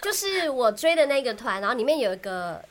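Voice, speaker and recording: Taiwanese mandarin girl cohost, super quick and lively, chatting casually with lots of examples. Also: fairly high pitch. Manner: animated, gives vivid examples. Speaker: podcast co-host. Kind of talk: casual chat about fandom.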